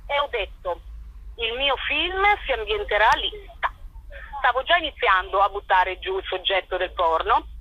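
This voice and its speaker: A woman answering interview questions as a playwright and performer, in a colloquial, animated storytelling way. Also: medium pitch, normal speed, slightly rough, dry recording, highs cut off.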